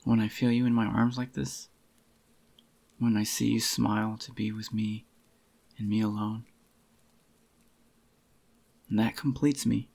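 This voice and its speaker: A man acts out an obsessively devoted boyfriend in an ASMR roleplay. His voice is lowish and thick with creak, groggy and soft-spoken. He keeps an even, narrow melody as he murmurs tender, possessive endearments up close. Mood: devoted, adoring. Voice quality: groggy, gravelly. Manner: tender, slow reassuring endearments to a lover.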